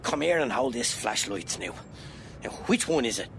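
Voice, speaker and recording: An Irish man playing a brisk, wry private detective who is in charge, in a radio drama. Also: very animated, vocal fry, a bit bright. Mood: dry, matter-of-fact. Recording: rumbling background noise, some room echo.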